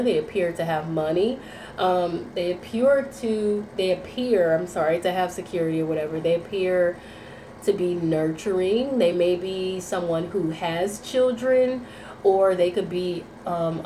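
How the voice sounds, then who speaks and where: A woman's voice: lowish voice, dark tone, roomy sound, slight background noise, low-quality recording. Nasal, congested woman reading tarot. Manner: rambling, frequent "okay?" and "you know" check-ins. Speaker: tarot reader. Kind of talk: casual tarot reading monologue.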